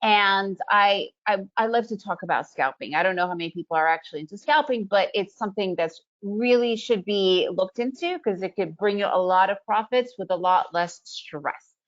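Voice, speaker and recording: Casting: woman of Russian origin, upbeat webinar presenter, mid-pitched voice, slightly dull lo-fi audio. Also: moderate pace. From Soviet Union, raised in the US. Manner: upbeat, chatty, leans on "right?" tag questions.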